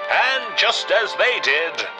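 A man's voice: high voice, big pitch swings, slow, exaggerated voice. A man playing an ominous announcer for a horror show, in old-time radio style. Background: music.

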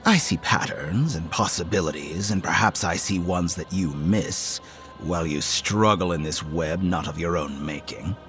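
An actor playing an enigmatic, disdainful supernatural man in a horror audio drama, speaking in formal, cryptic phrases with a hushed voice. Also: low voice, normal speed, faint music behind. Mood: cryptic, controlled.

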